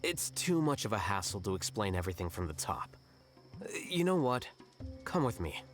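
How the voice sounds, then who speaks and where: An actor playing an aloof, unbothered young scholar in a video game, curt and deadpan. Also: male voice, lowish voice, normal speed, soft background music. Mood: dismissive. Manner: deadpan, matter-of-fact.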